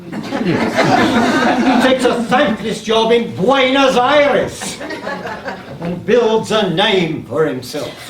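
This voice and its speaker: An actor playing a weary, boastful aging sea god in a live stage play, declamatory and grumbling. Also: male voice, sits high, full character voice. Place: live in a playhouse, far from the mic. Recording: distant, echoey theatre stage, faint noise.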